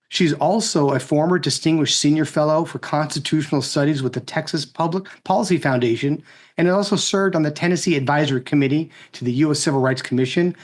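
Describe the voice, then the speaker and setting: Male co-host in his sixties, fairly high voice, conversational but rhetorical political speaker. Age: 60s. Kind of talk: conversational political speech introducing a guest.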